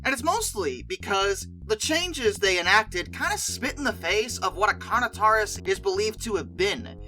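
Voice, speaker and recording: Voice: medium pitch, expressive, normal speed, bright, forceful tone, faint music behind, rough recording. A video host giving casual, opinionated commentary in a conversational, emphatic way with exasperated asides.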